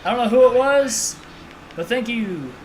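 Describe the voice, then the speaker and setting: A young man with a high, light voice gives chatty, excitable live commentary as he plays a racing game. His quick reactions run over a steady air-conditioner hum that sits clearly under the whole recording. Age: 20s. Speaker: livestream host. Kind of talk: casual live gameplay commentary.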